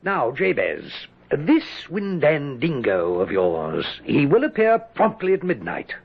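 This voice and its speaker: An actor playing an imperious, wry British general in a 1970s radio drama, commanding and theatrical. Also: male voice, on the high side, huge pitch swings, takes it slowly, vocal fry, breathy edge, exaggerated voice. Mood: musing. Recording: dull lo-fi 1970s radio recording.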